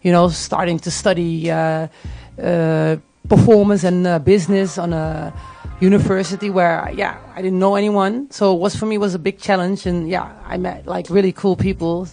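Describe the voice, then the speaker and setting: A woman with a Dutch accent and a gravelly edge, talking casually and hesitantly as an interview guest. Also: on the low side, steady tone, normal speed, warm tone, faint music behind. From Netherlands, grew up in Tilburg. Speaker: music artist, interview guest. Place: radio studio.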